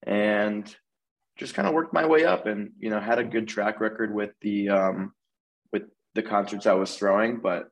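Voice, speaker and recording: A man's voice: on the low side, moderate pace, vocal fry, rough recording. A man in his twenties from Florida, chatting casually as a podcast guest over a video call and saying "like" a lot. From Tampa Bay area, Florida. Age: 20s. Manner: relaxed, peppers speech with "like". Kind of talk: casual interview chat.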